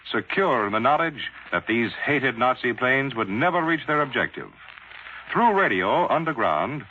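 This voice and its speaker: Through a thin, tinny old radio with faint noise comes an American man selling in 1940s style. He narrates dramatically in emphatic, clipped phrases, his mid-range voice swooping up and down. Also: medium pitch. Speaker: radio commercial announcer.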